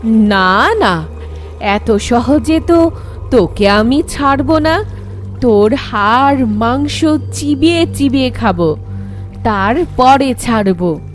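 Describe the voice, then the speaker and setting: A woman voicing the vengeful, bitter ghost of a drowned young wife in a horror cartoon, with a drawn-out, taunting delivery. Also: a little high, animated. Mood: menacing, taunting. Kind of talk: dramatic horror story voice acting. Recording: music bed under the voice.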